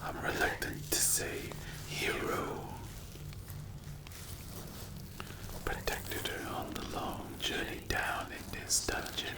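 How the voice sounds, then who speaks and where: A performer voicing a mocking, cruelly amused demon in a breathy whispered ASMR dark fantasy roleplay. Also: lightly acted. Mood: sly, teasing. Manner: slow, taunting, hushed storytelling. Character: mocking sleep paralysis demon, ancient, cruelly amused watcher.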